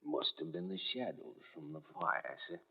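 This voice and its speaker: An actor playing a folksy, deferential innkeeper of a haunted wayside inn in an old-time radio drama, with rustic, servile phrasing and repeated phrases. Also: male voice, extremely low pitch, moderate pace, creaky, husky, full character voice. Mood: deferential, matter-of-fact. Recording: muffled lo-fi old radio recording.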